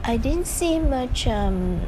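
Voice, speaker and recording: A woman's voice: expressive, slow pace. A woman narrating a hiking vlog in a casual, hesitant, unscripted way. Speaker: hiking vlogger. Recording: noisy outdoor ambience, traffic rumble.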